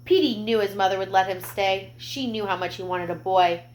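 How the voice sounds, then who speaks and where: A woman reading a picture book aloud to young children, expressive, with gentle explanatory asides. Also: mid-range voice, moderate pace, slight background noise, poor audio.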